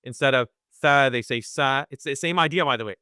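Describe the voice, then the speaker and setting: A man teaching Quran recitation in a webinar, talking conversationally, often checking in with "right?" and sounding out recitation slowly. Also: mid-range voice, pushed and bright, smooth, dry recording, studio quality. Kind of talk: live webinar lesson.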